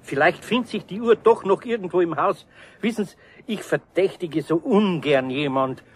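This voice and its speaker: An actor playing a good-natured, hesitant older carpenter with a husky voice and a Bavarian accent in a radio play. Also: male voice, high voice, moderate pace, a little hiss or hum, close to an everyday voice. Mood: worried, reluctant. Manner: hesitant, bewildered. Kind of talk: 1970s radio play acting.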